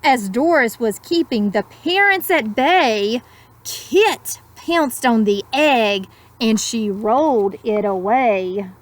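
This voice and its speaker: A woman reads a children's story aloud at an unhurried, slow pace. Her voice is high and expressive, dramatizing the lines and adding simple asides for young listeners. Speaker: storybook reader.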